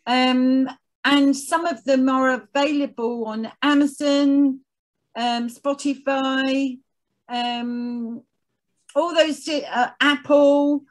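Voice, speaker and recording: A woman speaking as an interview guest, chatting casually in a hesitant, halting way. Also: high-pitched, fairly even intonation. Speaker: guest, head of a veterans' arts organisation.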